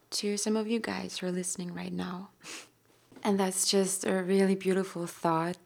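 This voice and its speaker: A young woman from Austria, with an Austrian German accent, hosting her own podcast in a gentle, rambling way with soft laughs and fillers. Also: lowish voice, vocal fry. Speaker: podcast host. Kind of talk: casual solo podcast monologue.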